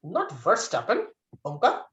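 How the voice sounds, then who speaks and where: A man's voice: high voice, huge pitch swings, a little slow, sharp tone, highs cut off. A man acting as quiz master, reading out trivia questions and giving verdicts on answers.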